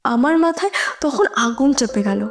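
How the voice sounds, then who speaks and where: Young female defendant: high pitch, bitter and emotional, halting audio-drama confession. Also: lively intonation, soft background music. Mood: anguished confession. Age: young adult. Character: young female student confessing a killing in court, bitter, unrepentant.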